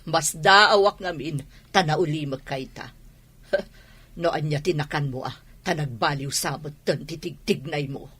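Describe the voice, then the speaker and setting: A woman's voice: low voice, unhurried, fairly bright tone, slightly rough, no room sound, heavily acted. A woman playing a suspicious, nagging middle-aged wife in a radio comedy drama. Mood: probing.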